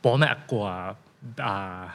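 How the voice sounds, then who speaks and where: Thai-accented male guest, lively storyteller, casual interview. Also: lowish voice, takes it slowly, vocal fry. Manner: animated, laughing storyteller with many restarts.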